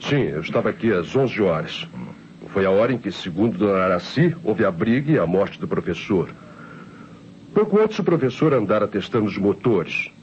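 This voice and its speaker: Nervous Brazilian lab assistant, swooping intonation. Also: male voice, lowish voice, sing-song, moderate pace, darkish tone. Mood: uneasy explaining. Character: nervous, defensive male laboratory assistant. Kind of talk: old Brazilian radio drama acting. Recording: dull, noisy old radio recording.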